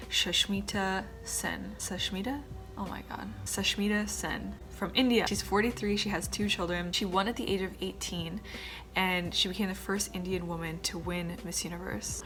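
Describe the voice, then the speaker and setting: A woman in her twenties chatting casually to camera as a vlogger, with quiet muttered asides and a slightly stuffed-up nose. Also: lowish voice. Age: 20s. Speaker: vlogger, former pageant contestant.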